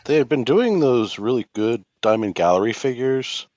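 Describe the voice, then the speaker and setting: A man's voice: mid-range voice, animated, normal speed, a little mellow, no room sound, muffled recording. A man co-hosting a podcast, chatting casually in loose, conversational asides. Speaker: podcast co-host. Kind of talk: casual chat.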